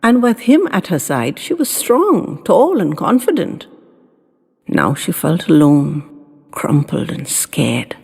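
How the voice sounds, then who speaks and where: A woman reading sentimental short stories as a storyteller, in a soft, expressive, dramatic voice with lingering pauses. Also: lowish voice, creaky, breathy edge. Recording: long hall reverb, music behind.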